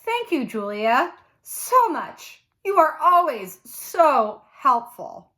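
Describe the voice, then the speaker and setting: A woman's voice: sits high, big pitch swings, slow pace, pushed and bright, voice pushed up, full character voice. A woman voicing an exasperated, sarcastic preteen schoolgirl in a storybook, with emphatic delivery. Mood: sarcastic gushing.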